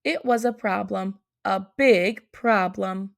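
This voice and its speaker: A woman's voice: medium pitch, steady tone, slow pace, gravelly. A woman narrating a picture book aloud for children. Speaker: storybook reader.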